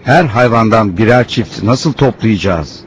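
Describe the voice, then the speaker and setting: A man playing a good-natured adult helper in a cartoon, expressive and explanatory. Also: fairly low pitch, measured pace. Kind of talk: cartoon voice acting. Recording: muffled, slightly noisy cartoon soundtrack.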